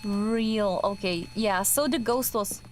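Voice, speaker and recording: A woman's voice: mid-range voice, takes it slowly, slight background noise. A young woman with a Czech accent chatting casually while streaming a game, hesitant and full of fillers and restarts. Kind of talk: casual chat while gaming.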